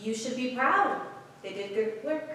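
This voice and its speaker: A woman's voice: fairly high pitch, measured pace, sharp tone, slight background noise. A woman playing a sassy, wry but supportive confidante in a staged play reading. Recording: very echoey room, distant mic.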